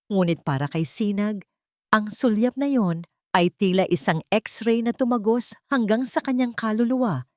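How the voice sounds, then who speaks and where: A woman's voice: mid-range voice, a little mellow, dry recording, studio quality. A woman reading a scripted, dramatic story as its narrator.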